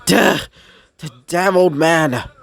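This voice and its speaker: A man voicing a brooding teenage boy who speaks in a low, sullen, sighing way. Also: slow pace, creaky, fairly bright tone, exaggerated voice. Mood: bitter. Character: Izuku, brooding teenage boy, bitter toward father.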